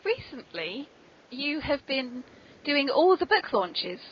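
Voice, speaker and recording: A woman's voice: high voice, very animated, slow. A woman podcast host interviewing in a polite, playful, conversational way, on a muffled lo-fi recording. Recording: dull, muffled lo-fi podcast audio.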